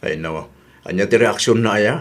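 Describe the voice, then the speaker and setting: A man's voice: lowish voice, a little fast, natural voice. Man acting an easygoing older Ilocano husband. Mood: skeptical. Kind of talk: comedy radio drama acting.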